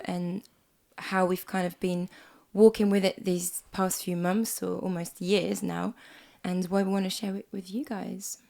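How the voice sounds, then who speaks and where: A woman in her twenties from Switzerland with a slight Swiss accent, co-hosting a casual podcast chat at home, a little croaky from a cold and quick to laugh. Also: mid-range voice. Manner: chatty, warm, laughs often. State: hoarse from a cold. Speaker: podcast co-host. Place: small music room at home.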